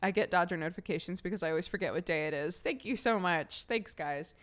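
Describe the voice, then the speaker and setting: A woman's voice: lowish voice, fast talker. A young woman streaming, chatting casually with viewers, slightly congested from a cold, rambling and laughing often.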